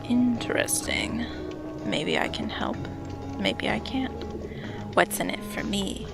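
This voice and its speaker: A woman playing a teasing, seductive witch in an audio drama, with a breathy, creaky delivery. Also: medium pitch, huge pitch swings, takes it slowly, full character voice. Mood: seductive, coy. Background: music.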